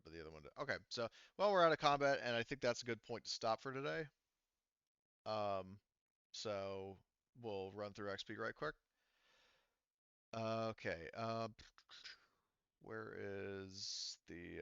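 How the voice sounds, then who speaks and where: A man's voice: lowish voice, gravelly, fairly bright tone, smooth, no room sound, highs cut off. A man casually narrating a tabletop game as game master, thinking aloud through the rules in an informal way.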